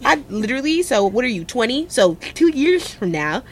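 A young woman from Cleveland co-hosting a talk show, chatting casually with lively, swooping pitch and frank, profane language. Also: moderate pace, noisy background. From Cleveland, Ohio. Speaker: co-host. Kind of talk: casual chat.

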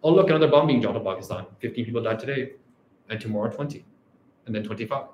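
Male academic, Canadian-raised, reflective lecture delivery, mid pitch, faint room hum. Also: fast, darkish tone, echoey room. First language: Urdu. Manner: reflective, often ends points with "right?". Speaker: academic researcher. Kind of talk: scholarly talk.

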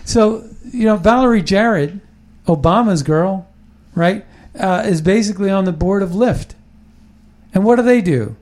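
Rambling, emphatic man, slightly high voice. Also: unhurried, darkish tone. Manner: rambling, emphatic, with frequent restarts. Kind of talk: casual political talk.

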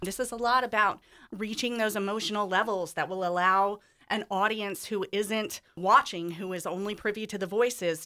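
A woman stage director talks as an interview guest, thoughtful and articulate. Her voice is bright and medium in pitch. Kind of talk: explanatory interview talk.

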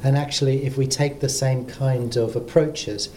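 Professor, man, warm mid voice, orderly point-by-point delivery, faint low rumble. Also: fairly even intonation, normal speed. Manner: organised, counts points off in order. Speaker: professor and medical college president. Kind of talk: panel discussion.

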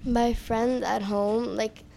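Gravelly-voiced girl camper. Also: fairly high pitch, steady tone, slow pace, dark tone. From New Jersey. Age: child. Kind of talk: casual chat.

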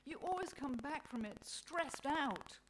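A woman's voice: high-pitched, expressive, measured pace, pitched above the performer's own voice. A woman voicing an impatient, scornful Mother Nature in a satirical storybook reading, scolding sharply. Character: exasperated, scornful Mother Nature, sharp-tongued older goddess. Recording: clearly noisy live recording.

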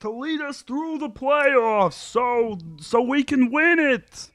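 A man's voice: very high voice, slow pace, fairly bright tone, no echo, studio quality, full character voice. A man playing a whiny, defensive sitcom husband in a script table read. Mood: defensive, pleading.

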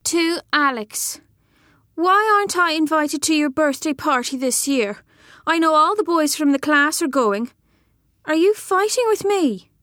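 A high-voiced, outspoken little schoolgirl. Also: animated, unhurried, fairly bright tone, no echo, clean studio sound. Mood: hurt. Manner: childlike, plain short sentences. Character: outspoken little schoolgirl writing frank notes to friend. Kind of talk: audiobook reading of letters.

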